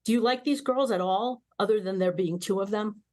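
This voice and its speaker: A woman's voice: mid-range voice, brisk, a little mellow, lightly acted. A woman reading the part of a blunt, sarcastic young female starship officer in a comedic table read. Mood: mocking. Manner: sarcastic, exclamatory.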